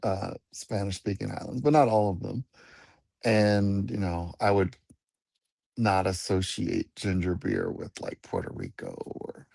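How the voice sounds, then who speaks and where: A man's voice: low-pitched, animated, measured pace, gravelly, a little mellow, breathy edge, no echo. A man teaching Spanish in a casual chat, patient and spelling words out letter by letter. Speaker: guest Spanish speaker.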